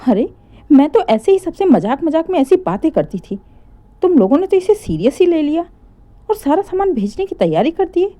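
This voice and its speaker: A woman's voice: sits high, very animated, moderate pace, warm tone, voice pushed up. A woman voicing a proud older mother-in-law in a family story, bossy, defensive and scolding. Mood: flustered scolding.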